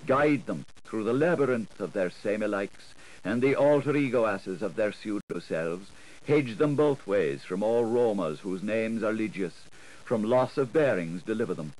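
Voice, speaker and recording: A man's voice: fairly low pitch, animated, moderate pace, a little mellow, poor audio. A man giving a theatrical audiobook reading of literary prose, in a declamatory, rhythmic way. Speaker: audiobook narrator.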